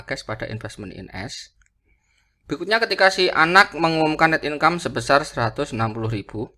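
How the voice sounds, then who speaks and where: A man's voice: fairly low pitch, pushed and bright. A man lecturing through worked calculations, didactic, often asking a question and then answering it himself. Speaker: accounting lecturer.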